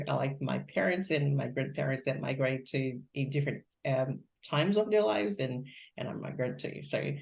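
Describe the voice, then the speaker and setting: A woman hosting a casual interview, asking questions haltingly with many fillers. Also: sits low, creaky, darkish tone. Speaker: host.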